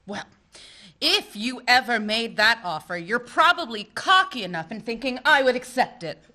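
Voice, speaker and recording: An actress playing a feisty woman who owns a failing boat marina and is quick to yell. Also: on the high side, big pitch swings. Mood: bantering. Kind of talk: stage-play acting.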